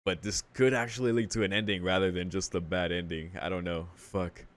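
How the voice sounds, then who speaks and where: A man's voice: sits low, fairly even intonation, fairly quick. A man hosting a visual novel playthrough, reading and reacting in slangy, profane casual talk, thinking aloud. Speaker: let's play host.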